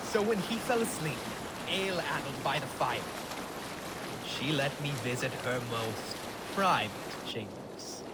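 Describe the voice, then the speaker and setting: An actor playing a bawdy, self-mocking Viking crewman in a video game, spinning comic tales over loud water and boat noise. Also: medium pitch, lightly acted. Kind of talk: theatrical comic storytelling.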